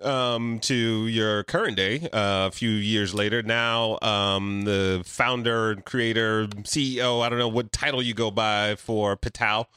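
A man's voice: fairly low pitch, fairly even intonation, a little slow, hardly any pauses, sharp tone, smooth, no echo. A man hosting a podcast in a playful, teasing way, joking and making silly sounds, with a close studio mic. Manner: jokey, makes silly sound effects, teasing. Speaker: podcast host. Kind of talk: playful casual interview chat.

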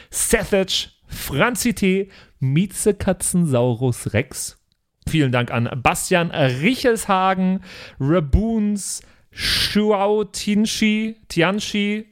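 A man hosting a podcast, chatting casually and reading out thanks with jokey little asides. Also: sits high. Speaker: podcast host.

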